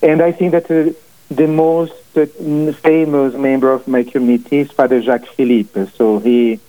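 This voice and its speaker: Portuguese-accented guy in his forties on a phone line, average pitch, stop-start radio interview talk. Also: steady tone. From family from Portugal. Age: 40s. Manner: halting, many fillers and restarts. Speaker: priest guest and author. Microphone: phone call into studio.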